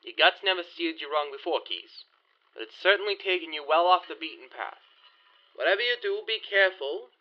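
A man's voice: sits high, animated, brisk, lo-fi sound. An actor playing a shrewd, guarded 1920s bootlegging man with slangy old-time gangster talk, in a period audio drama. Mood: skeptical, warning.

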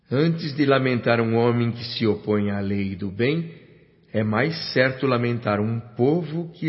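A man's voice: medium pitch, natural voice. An actor playing a solemn male narrator in a radio drama, grave and formal. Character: solemn, inquisitive male narrator, a newcomer spirit. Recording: muffled radio play with background music.

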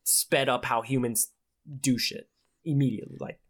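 Male, twenty, medium pitch, earnest and slangy in casual conversation. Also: fairly even intonation, moderate pace, breathy edge. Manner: slangy, earnest, with frequent "like" and "bro". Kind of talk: casual chat.

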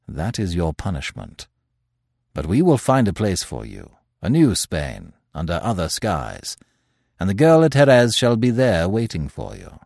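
A man voicing a composed, grave leader who speaks quietly and in a measured way. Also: huge pitch swings, creaky, no room sound, studio quality, exaggerated voice. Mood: calm, grave. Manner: quiet, measured, low delivery. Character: grave, composed man leading a secret group.

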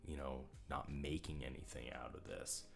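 Very deep-voiced man, rambling podcast host. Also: fairly even intonation, moderate pace, gravelly, faint music behind. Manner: rambling, repeats words when starting sentences, laughs often. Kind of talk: casual podcast chat.

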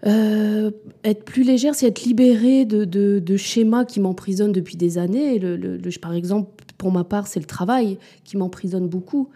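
A woman around forty speaks candidly as an interview guest, pausing often and restarting her thoughts. Her voice is very warm and mellow, sitting at a middle pitch. She sounds reflective rather than performed. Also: steady tone, husky. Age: 40s. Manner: hesitant and reflective, many fillers and restarts. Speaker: podcast guest, mother and business owner.

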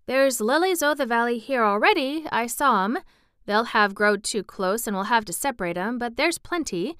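A woman's voice: a little high, animated, normal speed, fairly bright tone, clean tone, no room sound, clean studio sound, voice pushed up. A woman voicing a cheerful, hardy twelve-year-old country boy who loves nature, speaking hearty, earnest Yorkshire dialect in a storybook reading. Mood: informative.

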